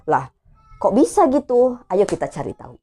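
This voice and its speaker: A woman's voice: medium pitch, huge pitch swings, moderate pace, warm tone. A woman presenting a casual explainer vlog in a conversational way, posing questions and answering them. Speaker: video presenter. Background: music.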